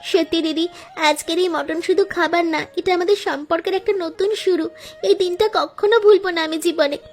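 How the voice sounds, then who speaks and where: A woman's voice: very high voice, steady tone, fairly quick. A woman playing an eager, sentimental younger sister and daughter-in-law in a cartoon story, speaking earnestly. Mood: eager, happy.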